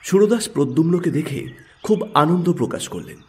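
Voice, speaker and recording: A man's voice: fairly high pitch, animated, normal speed, a little mellow, slight background noise. A man narrating a literary story in a scripted audiobook style.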